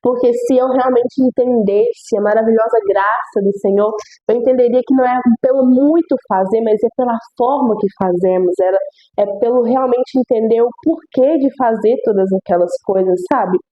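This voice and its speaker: A woman's voice: a little high, moderate pace, phone-quality sound. A young woman, congested and nasal from a cold, earnestly reading scripture and reflecting on it in a devotional video, often repeating phrases for emphasis.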